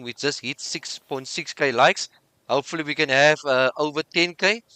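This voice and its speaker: A man hosting a casual sports podcast, chatty and relaxed, thinking aloud as he moves through topics. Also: fairly high pitch, clean tone, no room sound. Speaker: podcast host.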